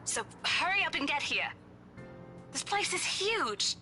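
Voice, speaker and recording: A woman's voice: high voice, sing-song, a little slow, exaggerated voice. An actress voicing a chatty, impatient, teasing young woman realtor in a horror visual novel, breezy and dramatic. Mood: awed, chatty. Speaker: realtor character in a game. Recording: game dialogue over loud music.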